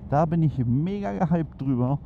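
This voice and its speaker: A man's voice: a little high, expressive, normal speed, vocal fry. A man chatting casually and enthusiastically into his helmet headset while riding a motorcycle through town, with lots of fillers. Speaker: motovlog host. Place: on a motorcycle in city traffic outdoors. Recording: muffled helmet mic with loud wind and traffic noise. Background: engine, wind and traffic.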